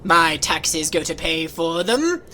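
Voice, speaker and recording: A woman putting on a cold old miser for a storybook reading, rasping out his words in a low, breathy half-whisper. His sneers come slowly, with a lot of swing in the pitch, over a faint hiss of background noise. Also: very animated, pushed and bright, heavily acted. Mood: contemptuous. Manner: sneering, clipped. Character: bitter miserly old man, cold and scornful.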